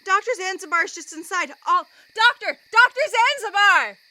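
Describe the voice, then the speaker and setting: A panicky, excitable friend acted by a woman in a radio drama. The voice is extremely high, piercingly bright and shrill, full of exclamation. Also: normal speed, heavily acted. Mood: panicked.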